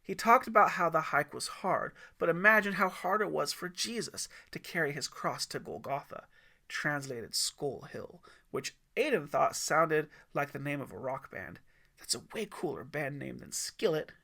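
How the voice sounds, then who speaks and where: A man's voice: on the high side, lively intonation, moderate pace, gravelly, sharp tone. A man reading scripted story narration as the narrator of his own audiobook.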